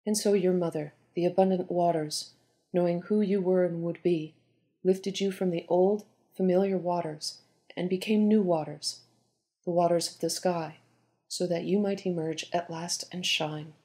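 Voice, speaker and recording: A woman playing a poised, pragmatic Egyptian goddess queen and oracle in an audio drama, with measured, formal delivery. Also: on the low side, steady tone, mellow, natural voice. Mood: solemn, mystical. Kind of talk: dramatised audio story reading.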